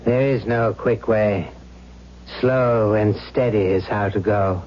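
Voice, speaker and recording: A weary, boastful Satan, muffled old radio. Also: male voice, fairly low pitch, steady tone, takes it slowly. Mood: irritated, dismissive. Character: Satan, weary, irritable, boastful Prince of Darkness. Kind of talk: vintage radio drama acting. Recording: muffled old radio recording with hiss and hum.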